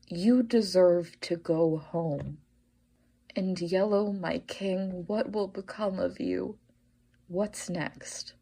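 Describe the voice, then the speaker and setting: A woman's voice: mid-range voice, warm tone. A woman listener delivering a heartfelt prepared monologue in an earnest, dramatic way. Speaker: listener sending a voice submission.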